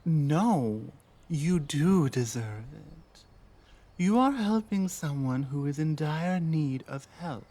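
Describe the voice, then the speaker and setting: A man playing an earnest, honorable orc fugitive in an ASMR roleplay, speaking in a hesitant, halting, humble way. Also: on the high side, expressive, mellow, heavily acted. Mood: grateful, solemn. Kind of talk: ASMR roleplay audio drama.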